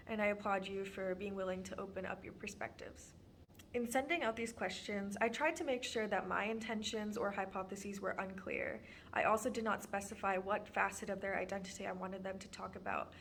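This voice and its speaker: A woman's voice: mid-range voice, a little hiss or hum. A young woman from Texas introducing her student documentary in an earnest, prepared way with frequent ums. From Houston, Texas. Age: young adult.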